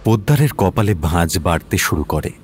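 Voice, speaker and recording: A man's voice: low-pitched, very animated, fairly quick, breathy edge. A man reading scripted narration for an audio detective story. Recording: music under the voice.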